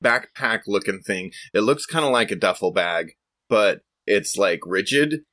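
A man's voice: fairly low pitch, lively intonation, unhurried, bright, forceful tone, no echo. A man casually improvising scene descriptions as a tabletop role-playing player, hesitant and searching for words as he describes.

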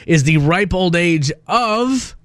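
A man's voice: sits high, animated, slow pace. A man hosting a radio show, presenting short items with wry, conversational banter. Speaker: radio host.

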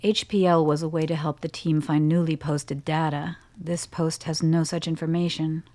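A woman's voice: sits low, little pitch movement, normal speed, mellow, no echo, close to an everyday voice. A woman playing a cool, exacting scientist in a radio drama, speaking in a clipped, authoritative manner. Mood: measured, analytical.

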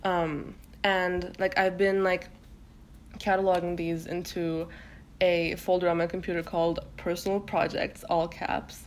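A young woman from Turkey, a native Turkish speaker, talks through her ideas on a podcast. Her voice sits a little low, and she circles her thoughts with fillers and false starts. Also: flat delivery, unhurried. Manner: hesitant, many fillers and self-restarts. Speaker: guest poet. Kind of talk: casual podcast conversation.

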